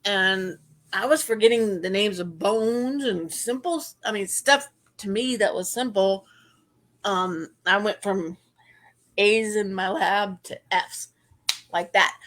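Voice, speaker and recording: A woman's voice: mid-range voice, slow, a bit bright. A middle-aged woman co-hosting a podcast, talking in earnest, run-on explanations in casual chat. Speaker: podcast co-host.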